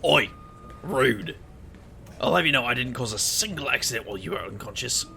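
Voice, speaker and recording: Roguish boss for audio drama, male actor: gravelly, bright, teasing and protective, faint rumble underneath. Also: expressive, normal speed. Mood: mock offended.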